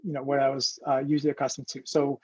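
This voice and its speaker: A man's voice: mid-range voice, completely flat delivery, fast talker, darkish tone, husky, no room sound. A man who grew up in Ecuador, teaching an online cooking class in a loose, rambling way full of fillers over a video call. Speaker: cooking instructor. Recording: dull, lo-fi call audio.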